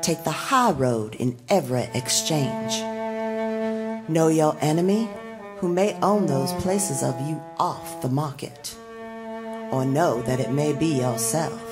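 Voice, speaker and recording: A woman reciting spoken-word poetry in deliberate, clipped, commanding phrases. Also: low voice, animated. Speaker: singer and spoken-word performer. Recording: loud acoustic guitar music behind voice. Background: plucked guitar music.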